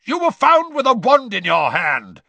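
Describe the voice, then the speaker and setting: A man's voice: fairly high pitch, very animated, normal speed, fairly bright tone, no room sound, pitched above the performer's own voice, exaggerated voice. A man voicing a stern, accusing male wizard official who barks and growls his questions, in a storybook reading. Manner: barking, growling, interrogating.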